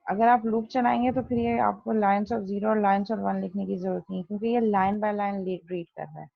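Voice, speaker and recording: A woman's voice: medium pitch, fairly even intonation, normal speed, vocal fry, no echo, lo-fi sound. A woman teaching programming in an explanatory, step-by-step way, often asking a question and then answering it herself, mixing in English technical terms. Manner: didactic, step-by-step, poses and answers own questions. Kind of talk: explanatory online coding lesson, Hindi-Urdu mixed with English terms.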